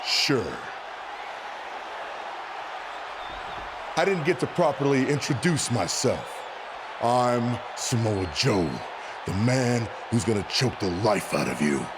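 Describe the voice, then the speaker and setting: A man's voice: mid-range voice, animated, lightly acted. A man acting an arrogant, swooping-voiced wrestling champion. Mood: menacing. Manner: boastful, deliberate, threatening. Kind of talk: in-ring wrestling promo in a video game. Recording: noisy arena with crowd behind.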